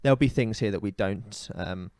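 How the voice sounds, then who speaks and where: A man's voice: fairly low pitch, normal speed, a little mellow, dry recording. Opinionated man ranting on a dry podcast mic. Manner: opinionated, ranting, many fillers. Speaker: podcast co-host. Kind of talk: casual comedic podcast chat.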